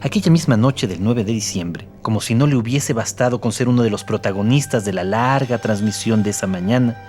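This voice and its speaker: A man's voice: lowish voice, brisk, darkish tone, faint music behind. A man reading scripted narration for a documentary drama.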